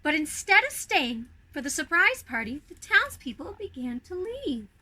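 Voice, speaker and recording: Very bright, animated high-voiced woman storyteller. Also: normal speed. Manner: playful, expressive, addresses young listeners. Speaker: costumed character storyteller reading to children. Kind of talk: animated children's storybook reading.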